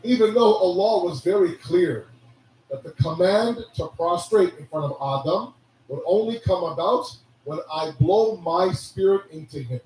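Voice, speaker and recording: A hoarse man giving a sermon, preaching with animated rhetorical questions. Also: high voice, a little slow, a little hiss or hum. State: hoarse, losing his voice. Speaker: religious speaker. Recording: echoey hall from a distance.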